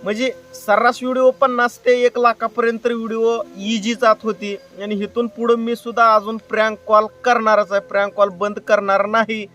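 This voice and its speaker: A man's voice: high voice, flat delivery, moderate pace, hardly any pauses, sharp tone, recorded from a distance, soft background music. A man making an earnest, plain-spoken personal appeal to his viewers, repeating himself for emphasis. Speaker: YouTube creator and prank caller.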